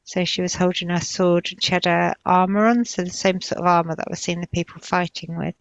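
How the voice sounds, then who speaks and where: A woman's voice: on the low side, steady tone, a little fast, gravelly, dull audio. A woman narrating spontaneously in a guided trance session, hesitant and drifting as she searches for words. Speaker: client recounting past-life visions in a guided session.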